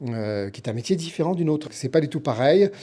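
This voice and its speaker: A man's voice: a little high, animated, fairly quick, mellow. A middle-aged man answering as an interview guest in a conversational, explanatory way, often listing and repeating to build his point. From born in Milan, Italy. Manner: explanatory, builds points through lists and repetition. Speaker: interview guest, TV producer and writer.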